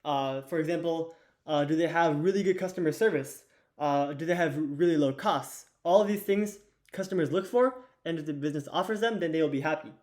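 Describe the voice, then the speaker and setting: A teenage boy with a hoarse, fading voice, teaching an investing lesson at a whiteboard in a loose, chatty way with lots of fillers and restarts. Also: a little high, a little fast, darkish tone. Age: teens. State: hoarse, losing his voice. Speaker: student teacher of an investing course.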